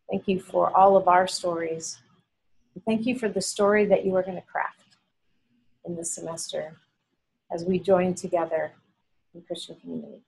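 Woman, middle-aged, husky, classroom instructor, friendly, animated. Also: mid-range voice, low-quality recording. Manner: friendly, animated, gestures with hands. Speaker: seminary instructor. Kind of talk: classroom teaching and prayer. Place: large classroom with drop ceiling. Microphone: camera on the table, below the speaker.

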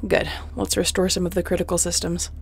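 A woman playing a tough, wry, protective space mercenary captain in a sci-fi audio roleplay, terse and commanding, often hushed. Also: lowish voice, level tone, fairly quick, vocal fry, a little hiss or hum. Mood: wry, resigned.

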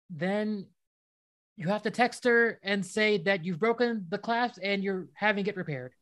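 An actor playing a weary, practical husband in a radio play, casual and resigned. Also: male voice, high-pitched, a little slow, a bit bright. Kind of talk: naturalistic radio play acting.

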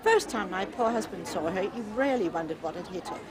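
A woman's voice: mid-range voice, expressive, a little fast. An older English woman in her seventies speaking informally and telling anecdotes in a chatty way. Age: older, 70s. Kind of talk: informal public address and reminiscence. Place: outdoors on a street among onlookers. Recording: noisy outdoor recording with crowd and ambience.

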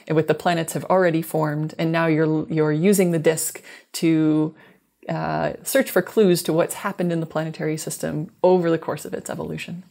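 A woman's voice: low voice, level tone, moderate pace, gravelly, warm tone. A woman in her thirties speaking as a scientist guest in an interview, explaining technical things in a thoughtful, careful way. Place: small room indoors, video call. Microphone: wired earbuds headset on video call.

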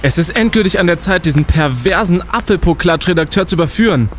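A man's voice: high voice, expressive, fast talker, soft background music. A man playing a brash, indignant young student detective in an audio drama, declaiming pompously. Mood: indignant, determined.

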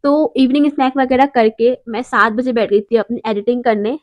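High-voiced young woman, chatty vlogger. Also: steady tone, normal speed. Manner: chatty, encouraging, keeps saying "theek hai". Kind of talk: daily routine vlog, motivational chat. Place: small room at home, sitting on bed.

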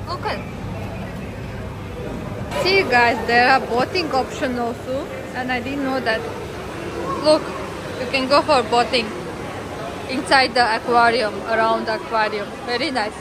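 A young woman vlogging on her phone through a busy mall and aquarium, talking excitedly to viewers and her small son. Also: on the high side, unhurried, pauses often. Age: young adult. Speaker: family vlogger. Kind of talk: casual vlog narration. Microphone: phone at arm's length. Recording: loud crowd and street noise.

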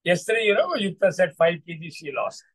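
Higher-pitched Indian man coaching. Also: big pitch swings, husky, no room sound. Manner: halting, repetitive, encouraging. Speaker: coach and host. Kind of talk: motivational storytelling to an online group.

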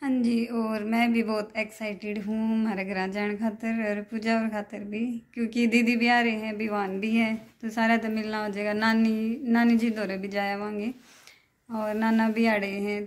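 A woman's voice: medium pitch, level tone, normal speed. A woman in her twenties with a Haryanvi accent, chatting casually to a phone held at arm's length for a family vlog. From Haryana, India. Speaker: family vlogger. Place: tiled room in a house, indoors.

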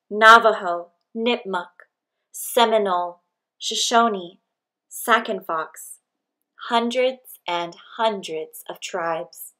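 A woman reads a picture book aloud in a mid-range voice. Her pace is very slow and measured. She phrases each short line deliberately and expressively, leaving space between them. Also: medium pitch, fairly bright tone. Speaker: read-aloud narrator.

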